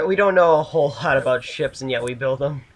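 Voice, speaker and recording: A man's voice: a little high, fairly quick. Casual man chatting over voice call. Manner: rambling, hesitant, upbeat. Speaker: channel host. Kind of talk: casual gaming chat.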